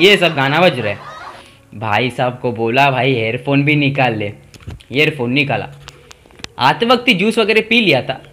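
A man's voice: on the high side, fairly bright tone, slight background noise. A young man doing stand-up, telling stories about his friends and acting out their lines with big gestures, recorded indoors on a fixed camera mic. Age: young adult. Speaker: stand-up comedian. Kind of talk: stand-up storytelling. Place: indoor room in front of a brick-wall backdrop.

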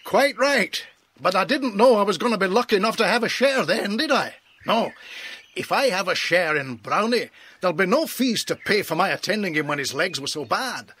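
A man's voice: high-pitched, lively intonation, moderate pace, a bit bright, husky, exaggerated voice. A British man playing a jolly, generous country vet in a children's audio drama, hearty and exclamatory. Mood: pleasantly surprised.

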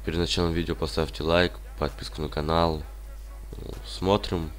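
Male interviewer, very deep voice, under the weather, terse questions. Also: flat delivery, moderate pace. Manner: short, plain questions. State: a bit ill. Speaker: interviewer, channel host. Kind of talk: casual interview.

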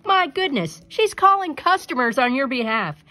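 A woman's voice: high voice, big pitch swings, moderate pace, sharp tone, pitched above the performer's own voice, full character voice. A woman voicing a rich, generous old male merchant in a storybook, speaking in an emphatic, marvelling manner.

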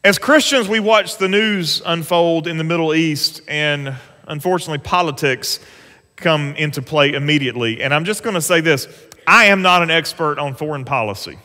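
A pastor, preaching an earnest, conversational sermon from a church stage. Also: male voice, fairly high pitch, normal speed, bright, forceful tone. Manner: earnest, conversational preaching with rising emphasis on scripture. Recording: long church hall reverb.

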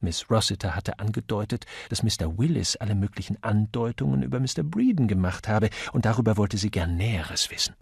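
A man's voice: fairly low pitch, lively intonation, fairly quick, gravelly, hardly any pauses, darkish tone, breathy edge, no room sound, studio quality. A man reading scripted audiobook narration.

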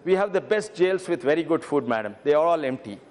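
A man's voice: a little high, animated, fast talker, darkish tone. Earnest man addressing parliament. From Telangana, India. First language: Telugu. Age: middle-aged. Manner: earnest, repeats "madam" often, brief stammers. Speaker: member of parliament. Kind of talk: parliamentary speech. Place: large parliament chamber. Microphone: desk microphone. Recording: reverberant parliament chamber.

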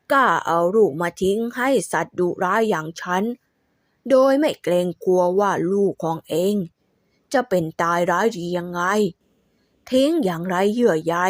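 A gruff old mother bear from a storybook scolds in a low, reproachful voice, as a woman acts her out. The recording is dry and close, with no room around it. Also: no echo. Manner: scolding, indignant. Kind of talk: storybook voice acting.

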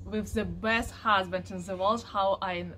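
A woman from Ukraine in her thirties talks in careful, halting English, pausing often with "uh" as she searches for words. Her voice sits at a middling pitch and carries a faint hiss and outdoor ambience from the porch where she sits beside her husband. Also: fairly even intonation. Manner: halting non-native English with many fillers. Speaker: co-owner of a marriage agency. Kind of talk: casual video introduction.

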